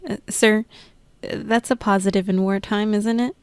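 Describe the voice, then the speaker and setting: A woman's voice: mid-range voice, fairly even intonation, a little slow, darkish tone, smooth, no echo, lightly acted. A woman playing a hapless junior soldier in an audio drama, hesitant and apologetic as she makes excuses. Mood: sheepish, defensive. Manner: hesitant, sheepish.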